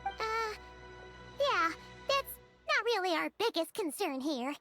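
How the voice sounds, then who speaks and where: A tiny, excitable fairy girl from a video game. The voice is very high and childlike and leaps around in exclamations. Loud music plays behind it. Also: slow, full character voice. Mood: flustered. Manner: exclamatory, squeaky chatter.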